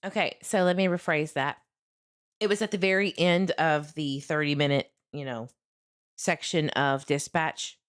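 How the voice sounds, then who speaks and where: A woman's voice: low-pitched, steady tone, unhurried, smooth. A woman hosting a true crime livestream, commenting casually and earnestly as she talks directly to her viewers.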